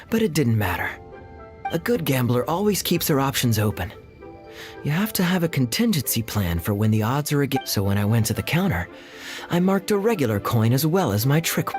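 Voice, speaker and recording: An actor playing a cunning, playful, self-assured gambler in a video game, with smooth theatrical delivery. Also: mid-range voice, a little mellow, natural voice. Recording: game music behind the voice.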